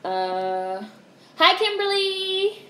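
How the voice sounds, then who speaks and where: Monotone young woman chatting with livestream viewers. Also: very high voice, drawn-out words. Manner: candid, swears casually, reacts to chat. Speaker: livestream host. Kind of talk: casual livestream chat reading comments. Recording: roomy echo with faint background hiss.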